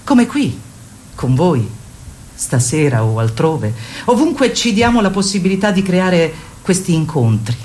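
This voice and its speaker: A fervent feminist workshop leader on radio theatre, her voice on the low side. She exhorts and encourages in a theatrical, rhetorical way, over slight background noise. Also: very animated, unhurried, a little mellow. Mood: solemn, fervent. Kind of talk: radio theatre acting, declamatory.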